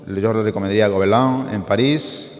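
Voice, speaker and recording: A man's voice: on the low side, fairly quick. A man on an animation panel answering audience questions with loose, restarting explanations. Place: art school event hall. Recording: echoey hall.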